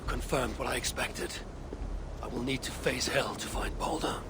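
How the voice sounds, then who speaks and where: An actor playing a proud, defiant god-king in a video game, grave and commanding, with a gravelly voice. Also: mid-range voice, steady tone, moderate pace, background noise, lightly acted. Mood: grim resolve. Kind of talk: cinematic video game acting.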